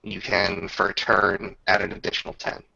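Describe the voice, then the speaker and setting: A man with heavy fry and a forceful edge runs a tabletop roleplaying session as game master. His talk is informal and descriptive, moving between casual rulings and narration. The sound is slightly dull, like a lo-fi voice chat. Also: low voice, flat delivery, moderate pace, no room sound.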